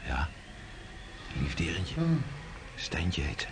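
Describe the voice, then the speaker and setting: Lovesick young seaman, low-pitched, musing, naive, historical radio drama, noticeable noise. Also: slow pace, full character voice. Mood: wistful. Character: lovesick, wistful, naive young sailor Gerrit. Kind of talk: Dutch historical radio play acting. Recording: noisy radio play recording.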